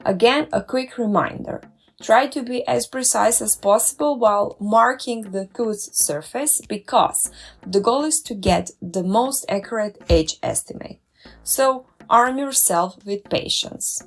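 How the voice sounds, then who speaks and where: A woman's voice: a little high, a little slow. A woman who works as a dentist, narrating a scripted step-by-step tutorial in an upbeat, careful way. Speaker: dentist and doctoral researcher.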